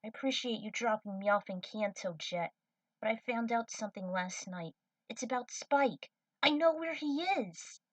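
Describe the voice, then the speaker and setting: Woman acting earnest, excitable young female cadet. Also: fairly high pitch, moderate pace, dry recording, distant mic, poor audio. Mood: earnest, urgent. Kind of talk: fan fiction character reading.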